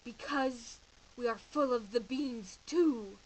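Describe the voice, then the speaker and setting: A woman's voice: on the high side, slow, a little mellow, slight background noise, low-quality recording. A woman voicing the smallest Chihuahua of a bandit gang, a cheeky male sidekick who talks in slang, in a storybook reading. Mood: complaining.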